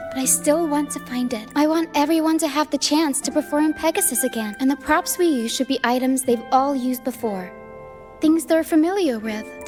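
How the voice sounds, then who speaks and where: A woman's voice: high voice, darkish tone, lightly acted. A woman voicing a hopeful, whimsical young girl in a video game, earnest and musing. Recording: music behind the voice. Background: music.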